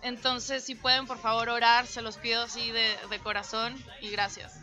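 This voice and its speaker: A woman's voice: on the high side, flat delivery, fairly quick, music in the background. A young woman from Venezuela making a sincere, hesitant appeal on a radio show into a desk mic. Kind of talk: heartfelt spoken appeal. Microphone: desk microphone on stand.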